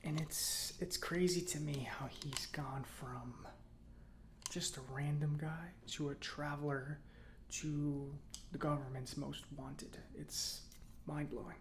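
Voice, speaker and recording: A man playing a chatty, opinionated robot mechanic in an ASMR roleplay, speaking softly and often near a whisper in a halting, musing, conversational way. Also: on the high side, fairly even intonation, noisy background. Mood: amazed, musing. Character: chatty, opinionated male government robot mechanic, gently confiding. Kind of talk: soft-spoken ASMR roleplay.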